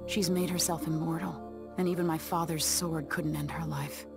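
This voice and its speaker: An actress playing a deposed young empress in a video game, resolute and guarded, speaking in a measured, cool way. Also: female voice, sits low, fairly even intonation, over music. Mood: reflective, resolute.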